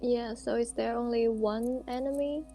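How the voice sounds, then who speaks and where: Female tabletop player, fairly high mellow voice, tentative, casual delivery. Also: fairly even intonation, normal speed, faint music behind. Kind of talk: casual tabletop game chat.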